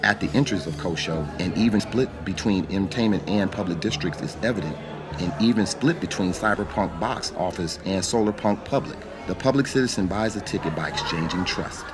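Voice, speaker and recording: Male narrator, deep register, scripted radio drama storytelling over a music bed. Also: fairly quick.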